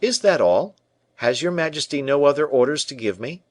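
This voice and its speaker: A man voicing a seasoned musketeer captain who answers in a cool, measured, respectful way. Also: fairly high pitch, lively intonation, dry recording, pitched above the performer's own voice.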